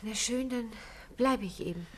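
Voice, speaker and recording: An actress playing a stubborn, nervous young daughter in a 1950s German radio drama, breathy, hesitant and defensive. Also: female voice, a little high, normal speed, fairly bright tone. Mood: guarded, nervous.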